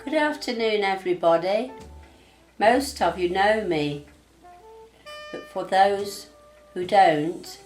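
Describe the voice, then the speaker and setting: Older lady saying hello to everyone real slow, roomy far sound. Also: mid-range voice, soft background music. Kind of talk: addressing a group.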